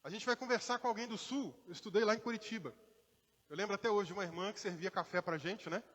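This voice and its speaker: A Brazilian man preaches with a mixed accent that leans toward Minas Gerais, his voice sitting fairly high for a man. He talks to the congregation in a relaxed, conversational way and acts out short quotes. The sound carries the long reverb of a church hall. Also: quick pace. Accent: mixed Brazilian Portuguese, partly Minas Gerais. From Brazil, born in Rio, raised in inland Minas Gerais. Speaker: pastor. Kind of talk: sermon.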